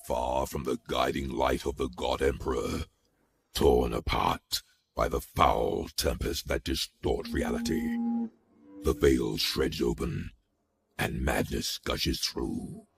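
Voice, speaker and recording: An actor playing a grim, zealous inquisitor delivering a solemn, menacing monologue in a game. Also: male voice, slow pace, music in the background, full character voice. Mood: grim resolve. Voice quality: very deep, gravelly. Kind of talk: dramatic scripted game monologue.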